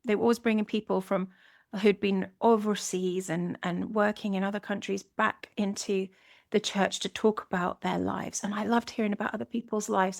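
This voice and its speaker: Gravelly-voiced Scottish woman hosting a podcast. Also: mid-range voice. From Scotland. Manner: earnest, reflective, with frequent restarts. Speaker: podcast host. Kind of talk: solo podcast monologue.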